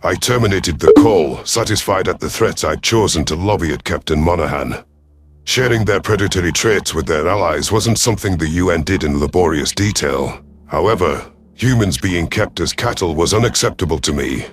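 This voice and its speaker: A man voicing a cold, calculating alien predator commander in a sci-fi audio story, imperious and menacing, with a rough husky voice. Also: deep voice, lively intonation, normal speed. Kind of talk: dramatic first-person sci-fi audio story.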